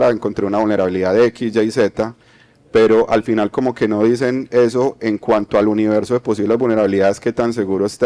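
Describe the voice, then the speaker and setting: A man's voice: mid-range voice, mellow. A man from Colombia with a Medellín accent, giving an explanatory conference talk, checking in with the audience as he goes. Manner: explanatory, many fillers and restarts, tag questions. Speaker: conference speaker.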